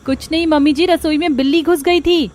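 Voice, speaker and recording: A woman's voice: high-pitched, steady tone, brisk, darkish tone, voice pushed up, lightly acted. A woman voicing a harried, resentful young daughter-in-law in a family cartoon, with an exasperated, complaining manner. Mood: polite, protesting. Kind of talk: Hindi family cartoon dubbing.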